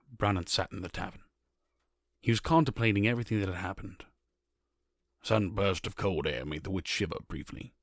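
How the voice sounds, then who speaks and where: A man narrating a dark fantasy tale as a solemn storyteller, reading from a script. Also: deep voice, creaky, no room sound, clean studio sound.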